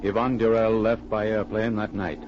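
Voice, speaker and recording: An actor as the formal narrator of a 1940s radio spy thriller, telling the story in scripted style. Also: male voice, lowish voice, flat delivery, moderate pace, background noise, close to an everyday voice. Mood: suspenseful. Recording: dull old radio recording.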